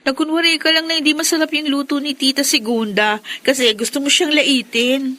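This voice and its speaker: A woman's voice: sits high, steady tone, brisk, bright, forceful tone. A woman playing a fair-minded, outspoken young sister in a Filipino radio drama. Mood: reproachful.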